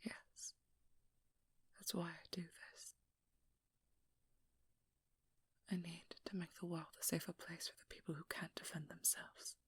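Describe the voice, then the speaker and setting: A woman playing a determined, guarded and protective vampire hunter in an intimate ASMR role-play, hushed and often whispering, with halting, earnest delivery. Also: lowish voice, little pitch movement, breathy edge. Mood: grave, warning. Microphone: close microphone in a dry room.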